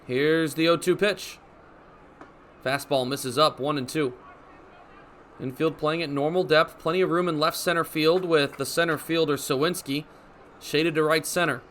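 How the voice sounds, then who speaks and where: Young guy doing radio baseball play by play, high bright voice, some stadium noise behind him. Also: moderate pace. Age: young adult. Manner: crisp, descriptive phrases with excited calls on big plays. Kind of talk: live sports commentary. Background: ballpark ambience.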